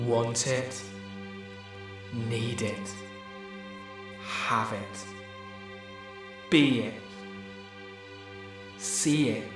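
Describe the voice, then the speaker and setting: A man acts out a solemn advert narrator, intoning short slogans at a crawl. The voice rings with heavy echo over sad violin music. It is a parody commercial delivery. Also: medium pitch. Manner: clipped two-word slogans, drawn out. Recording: echoey, with sad string music behind.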